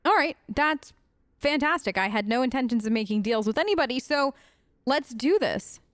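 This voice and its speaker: A woman's voice: high voice, lively intonation, moderate pace, no echo, studio quality. A woman doing casual, chatty role-play commentary as a let's play host.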